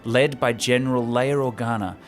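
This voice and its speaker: A man's voice: medium pitch, steady tone, moderate pace. A man hosting a show, retelling a film script with enthusiastic, chatty asides. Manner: enthusiastic, banters with co-host. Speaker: podcast host. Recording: loud music behind the voice.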